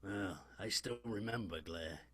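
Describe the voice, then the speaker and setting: An actor playing a wistful 1920s New York police detective in an improvised comic radio play, with halting, exclamatory speech. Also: male voice, sits low, bright, forceful tone, husky, heavily acted. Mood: flustered delight. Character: male 1920s NYPD detective, wistful war veteran.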